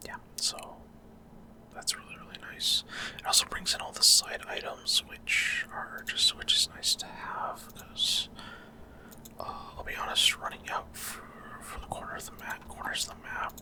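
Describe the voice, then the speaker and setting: A man whispers close to the microphone in an ASMR style as he hosts a gaming video. His delivery is fully breathy and unvoiced, with a casual, halting way of explaining what is on screen. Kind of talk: whispered ASMR gameplay commentary.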